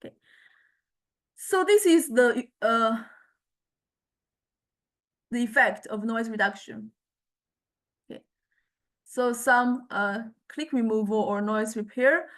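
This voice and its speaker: A woman lecturer giving an informal, unscripted software demonstration, with halting phrasing and frequent check-in questions. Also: fairly high pitch, lively intonation.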